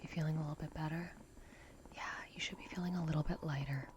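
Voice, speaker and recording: A woman whispering in a breathy, gentle and reassuring murmur as she performs a soft-spoken ASMR roleplay. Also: low voice, steady tone, fast talker, slight background noise.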